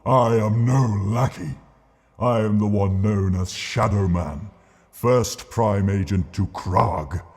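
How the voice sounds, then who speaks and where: Cavernous, dark shadow demon. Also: male voice, on the low side. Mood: imperious anger. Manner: grandiose, archaic, slow and declamatory. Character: menacing shadowy demon villain, pompous and ancient. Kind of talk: audiobook character voice. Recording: long cavernous reverb.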